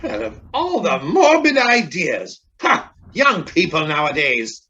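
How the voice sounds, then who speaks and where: A man acting a crotchety, outspoken old gentleman, formal and pompous, in a radio drama. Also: high-pitched, big pitch swings, slow pace, muffled recording, full character voice. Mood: scolding. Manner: blustering, pompous.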